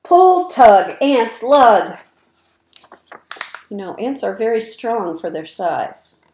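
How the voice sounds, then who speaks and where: Female children's read-aloud voice, fifties, fairly high, deliberate emphasis, roomy webcam recording. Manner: slow, deliberate, expressive word emphasis. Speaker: early childhood educator reading aloud. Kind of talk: picture-book read-aloud for young children. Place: indoor room at home, by windows. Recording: roomy, echoey webcam sound.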